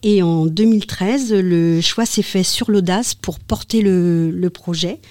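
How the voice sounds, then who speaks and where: A woman with a soft, mellow voice explains a local service in an interview. Her wording is formal but halting, and the sound is close and dry. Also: on the low side, no echo. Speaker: community transport coordinator being interviewed.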